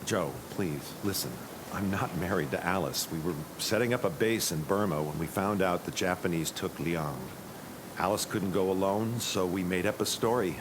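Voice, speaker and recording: An actor playing an earnest American military pilot in an audio drama, with an American accent. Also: male voice, low-pitched, moderate pace, noisy background, lightly acted. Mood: earnest, pleading.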